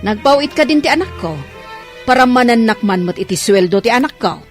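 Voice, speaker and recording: Grasping older Filipina mother-in-law, high, swooping voice, acted by a woman. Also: lively intonation, a little fast, soft background music, natural voice. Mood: demanding, scolding. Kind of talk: Ilocano radio comedy drama acting.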